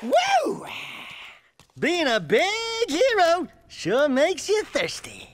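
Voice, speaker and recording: A man's voice: very high-pitched, huge pitch swings, extremely slow, a bit bright, soft background music, exaggerated voice. An actor voicing a cocky, wisecracking small male ottsel sidekick with a nasal voice and jokey street talk. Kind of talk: video game cutscene acting.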